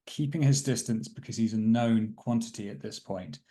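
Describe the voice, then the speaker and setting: A man playing a tabletop roleplaying game, thinking aloud as he narrates what his character does in a thoughtful, deliberate way. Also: on the low side, dark tone.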